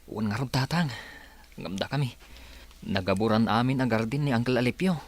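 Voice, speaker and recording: A man's voice: medium pitch, a little fast, close to an everyday voice. A man playing an earnest, dejected young village labourer in a radio drama.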